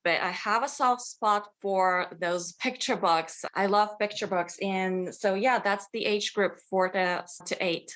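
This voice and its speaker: A woman's voice: mid-range voice, a bit bright. Bulgarian-born woman author, enthusiastic. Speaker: children's author, guest. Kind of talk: interview answers, storytelling.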